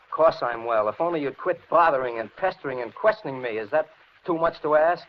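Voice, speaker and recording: A man's voice: fairly high pitch, lively intonation, fast, natural voice. An actor playing a paranoid, resentful young handyman in a 1940s radio thriller, speaking in a brooding, accusing, insistent way. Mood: indignant, accusing. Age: young adult. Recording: muffled, noisy vintage radio recording.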